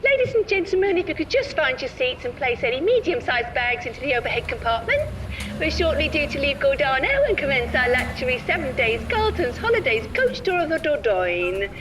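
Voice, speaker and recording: High-pitched officious British tour guide. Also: female voice, sing-song, brisk, over music, poor audio. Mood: bright, officious. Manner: scripted, sing-song announcer patter. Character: cheerily officious female coach tour guide. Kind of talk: comic audio drama announcement.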